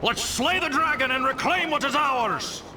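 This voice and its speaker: Blunt, high-pitched belligerent dwarf miner. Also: male voice, moderate pace, noisy background. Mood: gruff. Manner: blunt, combative. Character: gruff, suspicious, belligerent dwarf miner warrior. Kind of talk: fantasy game battle acting. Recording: echoey, distant, loud music behind.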